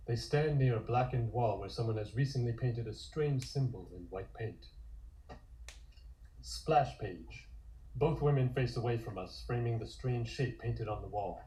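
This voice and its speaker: A man reading scripted narration for a comic-book table read in a measured, descriptive way. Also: medium pitch, warm tone, rough recording.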